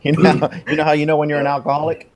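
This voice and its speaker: A man's voice: fairly high pitch, monotone, racing, a little mellow. A man in his fifties hosting a casual live stream chat, rambling, swearing and losing his place. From Texas. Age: 50s. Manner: rambling, swears, loses track. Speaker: host.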